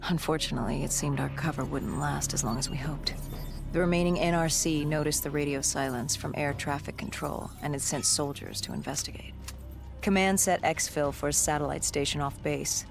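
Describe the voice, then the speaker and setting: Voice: mid-range voice, steady tone, brisk, creaky, natural voice. An actor's scripted game narration as a battle-worn cybernetic soldier, telling a grave story in a terse, dramatic way. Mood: grave, tense. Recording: dramatic music behind. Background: music.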